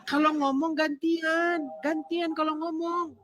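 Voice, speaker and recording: A man's voice: very high-pitched, flat delivery, slow, background noise. A man hosting a live call-in debate, laughing and mocking as he talks over his callers. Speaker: host of a live interfaith debate stream.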